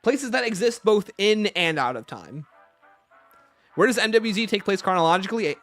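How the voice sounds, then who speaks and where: Higher-pitched man hosting a livestream Q&A. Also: animated, normal speed, a bit bright, soft background music. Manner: reads viewer questions aloud and answers them off the cuff. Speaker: livestream host. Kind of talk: explanatory livestream Q&A commentary.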